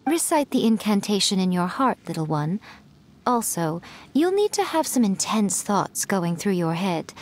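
A woman voicing a sly, playful female fox priestess who teases in a smooth, poised manner. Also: mid-range voice, very animated, measured pace.

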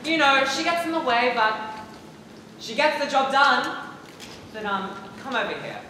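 A young man on stage in a school play, acting an earnest, exasperated but kindly high school teacher. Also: animated, measured pace, bright, forceful tone, exaggerated voice. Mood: patient. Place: theatre stage, filmed from the audience. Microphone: far camera. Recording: echoey theatre hall, faint noise.

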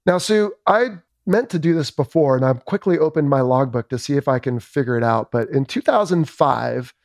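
A man's voice: mid-range voice, expressive, normal speed, no echo, studio quality. A man hosting a podcast interview in a casual, wry, conversational way, talking like a former military pilot. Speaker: podcast host, former Navy fighter pilot.

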